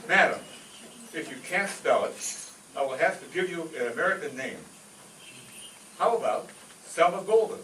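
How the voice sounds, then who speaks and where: A man playing a brisk, patient helper to a new immigrant in a comic radio skit. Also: a little high, animated, bright, forceful tone. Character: devoted immigrant son steering his mother, and brisk immigration officer. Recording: echoey, distant stage recording, faint noise.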